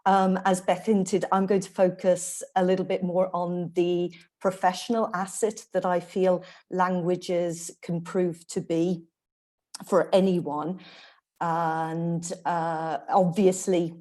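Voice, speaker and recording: A middle-aged woman speaks on a panel about her work as an interpreter, explaining at a measured pace with frequent fillers. Her voice sits on the low side and sounds mellow rather than bright. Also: flat delivery. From Ireland. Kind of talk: panel talk.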